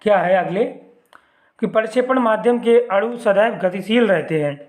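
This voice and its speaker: A young man with a high voice teaches a chemistry lesson. His throat is sore and he clears it often. He keeps asking his listeners a question and then answering it himself, and he adds a polite "ji" to his sentences. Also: moderate pace. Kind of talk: exam-prep lesson explaining diagrams.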